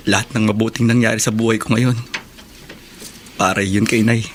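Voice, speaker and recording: An actor with a Filipino accent playing a remorseful, devoted young son in a radio drama, speaking earnestly and with emotion. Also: male voice, on the low side, level tone, fairly quick, a little hiss or hum, lightly acted. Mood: subdued, earnest. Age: young adult. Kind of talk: Tagalog radio drama acting. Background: background music.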